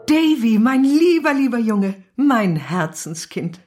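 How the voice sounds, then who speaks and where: A woman's voice: fairly high pitch, very animated, slow pace, loud music behind the voice. An actress playing a doting, motherly old nursemaid, effusive and homely, in a radio drama. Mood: overjoyed, tender.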